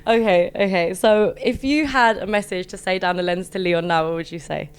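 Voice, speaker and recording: A young-sounding woman with a London accent runs a light, teasing interview. Her voice is smooth and clear, and she laughs easily between questions. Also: lowish voice, moderate pace. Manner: giggly, teasing. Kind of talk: casual playful interview.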